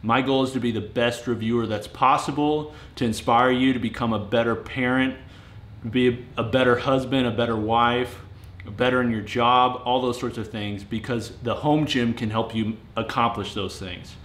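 A man's voice: medium pitch, fairly even intonation, moderate pace, fairly bright tone. Late-twenties male vlogger, casual and sincere, roomy garage sound. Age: 20s. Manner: earnest, plainspoken, with fillers and restarts. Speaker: home gym reviewer. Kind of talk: casual vlog talk to camera. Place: home garage gym, indoors. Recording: roomy garage echo, faint noise.

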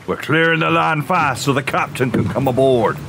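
A man's voice: medium pitch, moderate pace, creaky, background noise, lightly acted. A man playing a practical, wary pirate who commands the crew in an audio drama. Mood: wary, commanding.

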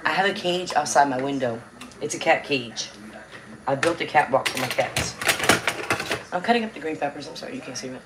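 A woman's voice: a bass voice, lively intonation, a little fast, long pauses, heavy background noise. A woman, chatting casually with livestream viewers while she cooks in her home kitchen, talking into a tablet on the counter. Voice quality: raspy. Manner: chatty, greets viewers by name, drawling. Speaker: cooking livestream host. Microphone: tablet on the counter, close.

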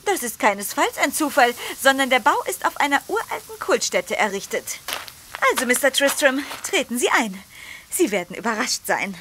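A woman's voice: high voice, big pitch swings, moderate pace, pushed and bright, a little hiss or hum, full character voice. An actress playing a spirited, theatrical lady poet with a secretive streak, speaking well-bred, emphatic audio drama dialogue. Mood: eager, inviting.

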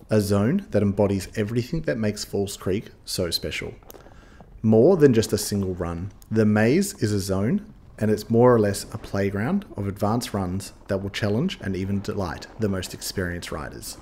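A man's voice: fairly low pitch, a little mellow, a little hiss or hum. A man giving upbeat scripted voice-over narration, guiding listeners step by step like a ski guide.

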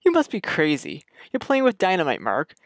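A man plays the incredulous, loyal friend in a radio mystery. He is wry and excitable, with pitch that swings widely. The recording is dry. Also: normal speed, no echo, heavily acted. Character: incredulous, loyal friend drawn into grave robbing. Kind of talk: radio mystery drama acting.

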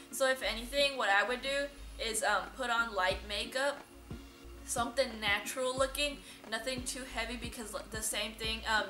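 A woman's voice: fairly high pitch, pushed and bright. A young woman chatting casually and explanatorily as the host of an advice vlog, recorded in a large room on a camera mic. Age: young adult. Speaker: host, quinceañera choreographer. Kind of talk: casual instructional vlog chat. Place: large indoor dress boutique showroom, seated on a sofa. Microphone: camera mic a few metres away. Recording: roomy echo, camera mic at a distance.

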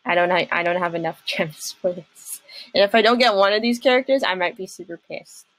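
A young adult woman chatting casually and haltingly as she hosts a reaction video about games. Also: lowish voice, fairly bright tone, no room sound, low-quality recording.

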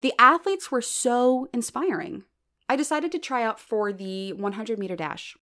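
A woman's voice: on the high side, moderate pace, bright, forceful tone. A woman playing a self-deprecating stand-up comedienne in an audio drama, with a wry delivery built around punchlines. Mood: wry, joking. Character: self-deprecating plus-size female stand-up comedienne named Eleanor.

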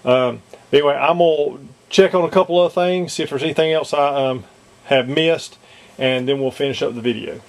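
A man casually showing how to fit a model cockpit kit in a workshop, talking haltingly with many fillers and restarts. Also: a little high. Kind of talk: casual hands-on demonstration. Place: workshop, indoors.

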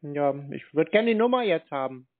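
A man's voice: a little high, lively intonation, normal speed. A man in a phone conversation, speaking bluntly and insistently in a colloquial way. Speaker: caller confronting a call centre. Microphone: telephone line. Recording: tinny, narrow-band phone call recording.

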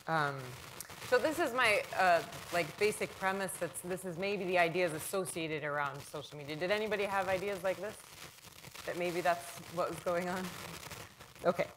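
Woman in her thirties, lecturer, lowish voice, conversational, loud and clear, hand mic in a hall. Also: moderate pace, background noise. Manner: conversational, often checks in with "right?"; self-described loud voice. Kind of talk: public lecture.

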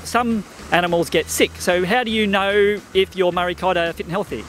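A man's voice: high-pitched, fairly even intonation, normal speed, a bit bright, slight background noise. A man interviewing a farmer outdoors, cheerfully reading questions from a sheet into a handheld mic. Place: outdoors beside farm ponds.

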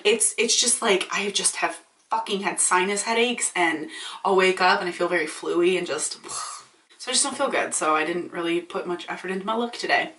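A woman in her late twenties, vlogging in a chatty, animated, ranty way, swearing freely and a bit congested from allergies. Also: medium pitch. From Michigan. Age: 20s. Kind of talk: casual ranting vlog. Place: small indoor room. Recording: roomy echo, slightly distant.

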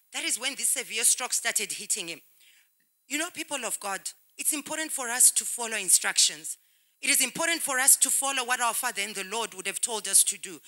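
A woman in her thirties introduces a healing testimony at church, her voice very bright and ringing. She speaks with emphasis and turns often to address the congregation. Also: on the high side. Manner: emphatic, addresses people of God. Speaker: church presenter.

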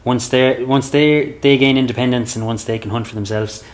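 A man's voice: medium pitch, steady tone, moderate pace. A man in his thirties with an Irish accent, explaining barn owls in a chatty way, full of "like, you know". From Ireland. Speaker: barn owl expert. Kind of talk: explaining while handling birds. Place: inside a large open farm shed.

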